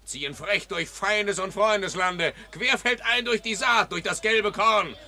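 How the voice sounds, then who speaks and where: An actor playing a rough, rowdy soldier in a radio drama, blunt and loud. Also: male voice, high voice, a little slow, heavily acted. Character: rough wild soldier, man.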